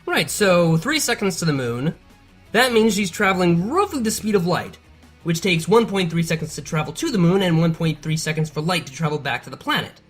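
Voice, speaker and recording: A performer voicing an excitable, nerdy pony scientist, explaining precisely in a scripted educational dialogue. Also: mid-range voice, fairly quick. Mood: thoughtful. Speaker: science explainer in an animated analysis show. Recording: music behind the voice.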